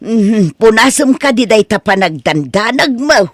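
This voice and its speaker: A man playing a calm, reassuring older friend of a father in an Ilocano drama. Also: high voice, measured pace, no room sound, studio quality. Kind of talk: Ilocano radio-style drama acting.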